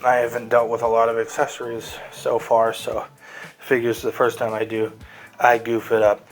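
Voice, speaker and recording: A man's voice: medium pitch, completely flat delivery, normal speed, poor audio. A man hosting a casual, unscripted toy review, talking in a rambling, easygoing way as he handles the item. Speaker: toy reviewer and host of the show.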